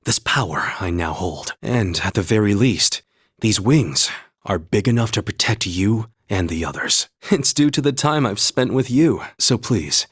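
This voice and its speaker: A man voicing an earnest, calm young male warrior in a video game, speaking in a measured, sincere and formal way. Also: fairly low pitch, slightly rough, full character voice. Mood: sincere, reassuring. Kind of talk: video game voice acting, battle calls and dialogue.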